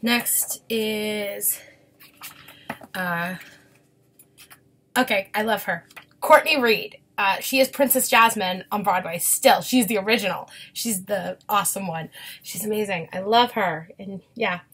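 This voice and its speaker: A woman's voice: medium pitch, a little slow, fairly bright tone, slightly rough. A woman in her twenties chatting casually and enthusiastically to her viewers, rambling and gushing like a devoted fan. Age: 20s. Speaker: vlogger showing autographs.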